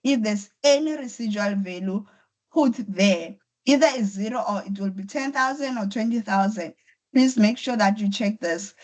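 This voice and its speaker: A woman, her voice roughened by flu, leads an online accounting class. She works through each point patiently, step by step, and repeats key figures. Her pitch sits at an ordinary middle height, recorded through a computer mic on a video call. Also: a little mellow, rough recording. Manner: patient, step-by-step explaining with repetition. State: voice affected by flu. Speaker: accounting tutor. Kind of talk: online lecture.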